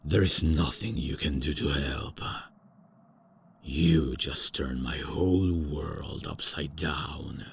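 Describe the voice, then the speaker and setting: A man's voice: very deep voice, slow, creaky, slight background noise, exaggerated voice. A man voicing a haughty, out-of-touch ancient male vampire lord in a comedy ASMR roleplay, with a pompous, theatrical manner. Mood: indignant.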